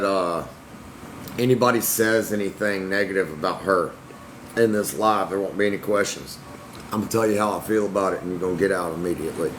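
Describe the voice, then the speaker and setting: A young man talking bluntly and defensively to his livestream chat. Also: lowish voice. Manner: blunt, defensive, Southern-tinged slang. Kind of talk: casual livestream talk to chat. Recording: noisy room with air conditioning hum.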